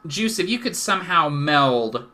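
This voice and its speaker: A man with a very bright, forceful voice runs an online tabletop game as host and dungeon master. He talks in an upbeat, encouraging way, pitched a little high, laughing easily with his players over a video call. A faint hiss sits under the voice. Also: lively intonation, a little slow. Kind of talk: casual chat hosting a tabletop game show.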